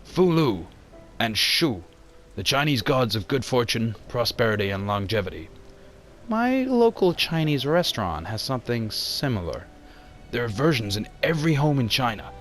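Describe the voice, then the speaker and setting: Man playing a nervous male archaeologist, stubborn, hesitant, lowish voice, motion comic, music behind. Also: fairly bright tone. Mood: wry, uneasy. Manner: hesitant, stammering. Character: anxious, stubborn male archaeologist, desperate for funding. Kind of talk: amateur motion comic voice acting.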